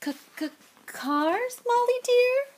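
A woman's voice: fairly high pitch, lively intonation, moderate pace, close to an everyday voice. A woman voicing a mild, tired middle-aged father in a storybook, with a hesitant, mumbling manner. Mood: sheepish, hesitant. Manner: hesitant, stammering, sighing, mumbling.

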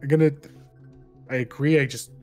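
A man talks as a podcast co-host in a casual film discussion. His pitch is middling, and he thinks aloud in chatty, loose fragments. Faint music plays behind him. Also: fairly even intonation, moderate pace.

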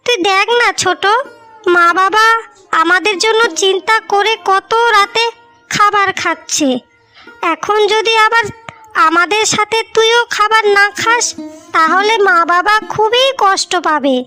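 Woman voicing a high, bright, caring elder sister. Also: a little slow, full character voice. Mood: coaxing. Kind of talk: Bengali cartoon fairy-tale dialogue. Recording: music bed behind the voice.

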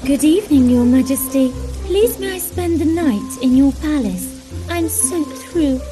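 A woman's voice: high voice, lively intonation, unhurried, music in the background. A woman playing a sweet, polite young princess in a children's storybook, with dramatic delivery. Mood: pleading, grateful. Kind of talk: children's storybook app character acting.

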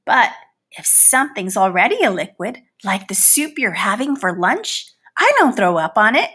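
A woman voicing a boastful, theatrical male housefly, a proud father who scorns butterflies, in an animated children's picture book read-aloud. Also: medium pitch, moderate pace, slightly rough, exaggerated voice. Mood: explanatory.

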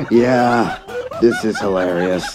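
A man's voice: medium pitch, gravelly. A man hosting a stream with casual, chatty talk. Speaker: channel host and streamer.

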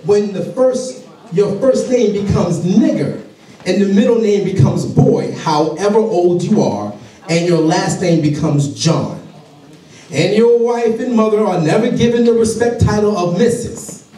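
A man giving a dramatic public reading from a stage, with deliberate, oratorical phrasing and pauses. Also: high-pitched, lively intonation, dark tone. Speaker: public reader at a community program. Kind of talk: dramatic public reading of a famous letter aloud. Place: large indoor hall, on stage before a seated audience. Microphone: stage microphone through speakers, recorded by far camera in audience. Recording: echoey hall from far off, faint noise.

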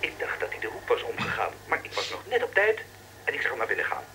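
An actor playing an eager, excitable field reporter in a radio drama, confiding down a telephone line. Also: male voice, medium pitch, fast talker, a little hiss or hum. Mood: excited.

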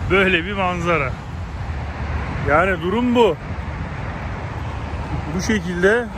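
A man in his thirties walks and talks to his viewers in a loose, rambling way, with the phone held out at arm's length. His voice sits a bit high for a man. Traffic and wind come through clearly behind him. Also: slow pace. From Turkey. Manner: chatty, rambling, addresses viewers directly. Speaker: travel vlogger. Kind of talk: casual walking-tour vlog. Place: outdoors on a windy lakeshore and city streets. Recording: outdoor phone audio with traffic and wind noise.

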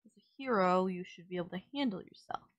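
A woman's voice: on the low side, fast talker, muffled recording, natural voice. A woman playing a sardonic villain nemesis in an audio roleplay, scolding and teasing the listener in an exasperated but caring way. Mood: scolding care.

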